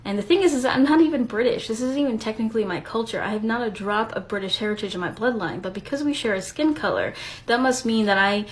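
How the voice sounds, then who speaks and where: A woman's voice: fairly high pitch, lively intonation, fast. A woman whose first language is Spanish, making a point-by-point rebuttal video and arguing with indignation. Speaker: response video creator. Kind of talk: rebuttal commentary to camera.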